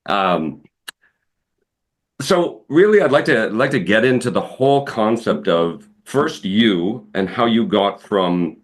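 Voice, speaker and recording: A man's voice: fairly low pitch, expressive, slow pace. A man hosting a spiritual interview, earnest and musing, often repeating himself. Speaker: host.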